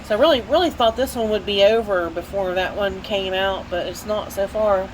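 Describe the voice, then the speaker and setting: A woman's voice: mid-range voice, normal speed, noisy background. A woman with a Southern US accent and a stuffy head cold, rambling casually with many fillers as she walks through her plant shelves. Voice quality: nasal when bending over. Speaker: orchid grower giving a plant tour. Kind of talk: casual walk-through vlog narration.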